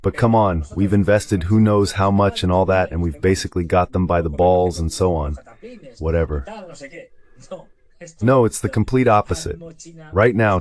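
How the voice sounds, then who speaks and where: A man's voice: low voice, darkish tone. A man giving conversational political commentary in an emphatic, repetitive, colloquial way, as a synthetic translation voice-over.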